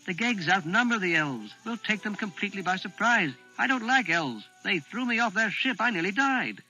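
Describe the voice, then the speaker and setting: A scheming child changeling speaks up high and excitable, every line pressing for more, acted by a man reading the story. The tone is bright and cutting, and faint music sits behind the reading. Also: normal speed, full character voice, low-quality recording. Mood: eager. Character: eager scheming boy changeling, bold and grasping.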